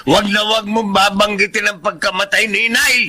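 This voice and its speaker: A very sharp, forceful, high-set husband in a radio drama. He comes across as callous and brash, dismissive and quick to defend himself. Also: flat delivery, heavily acted. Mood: angry, defensive.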